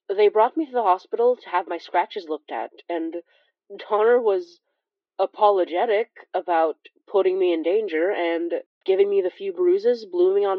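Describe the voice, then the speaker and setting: Actress as a low-voiced, wry young immigrant woman. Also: dry recording, muffled recording, close to an everyday voice. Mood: subdued. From Philippines. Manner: conversational, hesitant, wry asides. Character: young Filipino immigrant woman, wry, haunted monster-fighter recounting horrors. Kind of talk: audio drama first-person confessional narration.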